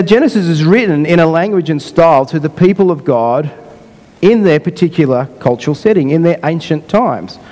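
Croaky-voiced male preacher. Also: a little high, darkish tone, big-room sound. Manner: unhurried, repeats and rephrases himself. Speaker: pastor. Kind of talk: sermon.

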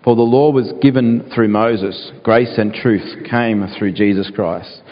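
A man with a croaky voice, earnest and pausing with fillers, praying and reading scripture as a pastor in a sermon. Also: on the low side, fairly even intonation. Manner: earnest, with frequent fillers and restarts. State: croaky, clearing his throat. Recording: dull sound, long church reverb.

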